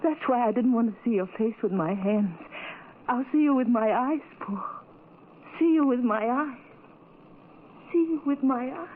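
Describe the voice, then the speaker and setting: A woman playing a tender, devoted blind woman pleading with her beloved in halting, earnest phrases, in the style of old radio melodrama. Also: a little high, lively intonation, natural voice. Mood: hopeful pleading. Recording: muffled, noisy vintage radio recording.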